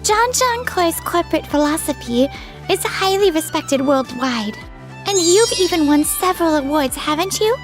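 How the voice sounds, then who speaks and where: Casting: woman as polite young manga businesswoman, extremely high voice, earnest and frank, music underneath. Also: expressive, normal speed. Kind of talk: manga dub voice acting.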